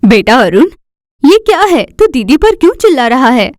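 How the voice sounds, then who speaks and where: A woman voicing a protective, scolding mother with an emphatic delivery in an animated moral story. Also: high voice, normal speed, dry recording, studio quality.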